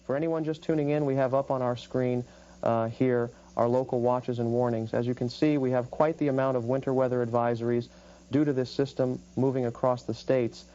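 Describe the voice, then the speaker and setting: A man playing a flat-voiced local TV weatherman. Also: medium pitch, dull audio, lightly acted. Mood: calm. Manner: steady, measured forecast delivery with small hesitations. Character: calm male TV meteorologist on a local station. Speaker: weather presenter. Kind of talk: amateur broadcast role-play.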